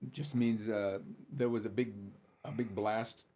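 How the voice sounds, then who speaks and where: An older man with a husky, slightly breathy voice tells stories from his past on a casual podcast. He sounds dry and matter-of-fact, and he slips into the voices of the people he is quoting. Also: on the low side, fairly even intonation, a little slow, mellow. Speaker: comedian guest.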